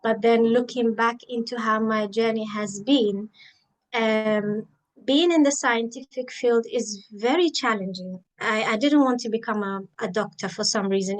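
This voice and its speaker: A woman in her thirties, raised in the Emirates, telling her career story in an interview, thoughtful and pausing with many ums. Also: mid-range voice, poor audio. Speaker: guest, biomedical scientist and author. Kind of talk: interview, reflective personal story.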